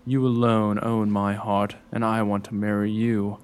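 A man's voice: fairly low pitch, little pitch movement, unhurried, clear, resonant tone, close to an everyday voice. A man playing a gallant, self-assured rescuer and suitor in a radio play, with formal, declamatory delivery. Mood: ardent.

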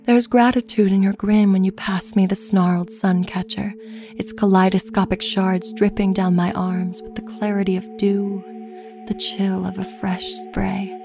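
Female narrator, unhurried lyrical storytelling, very level intonation, music clearly underneath. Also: medium pitch, level tone. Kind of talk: slow literary first-person narration.

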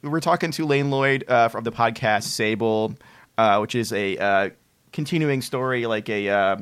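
A man's voice: medium pitch, normal speed, fairly bright tone, clean tone. A man co-hosting a podcast in casual interview chat, joking and repeating words as he goes. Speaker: podcast co-host.

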